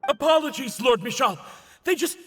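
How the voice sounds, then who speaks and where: A rattled underling soldier in a fantasy game. His voice is strained very high and sharp, deferential and pleading. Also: expressive, normal speed, breathy edge, exaggerated voice. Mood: panicked, apologetic. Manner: pleading, frantic. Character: panicked, deferential soldier reporting to his lord. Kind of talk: video game voice acting.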